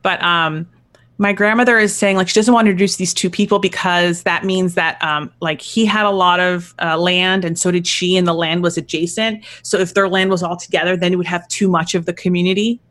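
A woman's voice: on the low side, fairly even intonation, a little fast, fairly bright tone. A woman in her thirties, a matchmaker on a podcast, chatting casually and explaining with stories. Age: 30s. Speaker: matchmaker guest.

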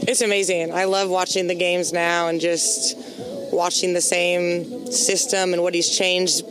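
A woman, a former college basketball player, answering interview questions in a quick, upbeat, jokey way outdoors. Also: fairly low pitch, level tone, over music. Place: outdoor event stage. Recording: busy crowd around.

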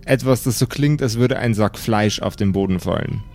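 Low-voiced man narrating a suspenseful game. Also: moderate pace, darkish tone, soft background music. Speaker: game master. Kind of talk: improvised scene narration for a tabletop game.

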